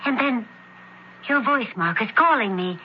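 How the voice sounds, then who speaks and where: An actress playing a devoted, anxious young Roman woman in a radio drama, with dramatic pauses. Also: on the high side, animated. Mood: dazed. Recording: muffled, faintly noisy radio broadcast.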